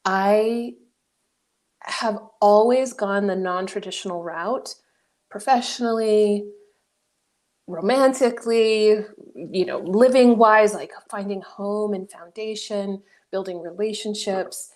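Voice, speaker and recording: A woman in her forties from New Mexico, a guest coach telling her life story in an interview, reflective and animated, often laughing. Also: mid-range voice, slow pace. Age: 40s.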